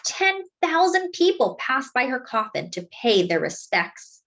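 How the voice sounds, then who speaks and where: High, expressive woman reading a children's story. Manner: dramatic, deliberate phrasing with drawn-out words for emphasis. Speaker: storyteller reading a picture book aloud. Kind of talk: expressive children's read-aloud narration.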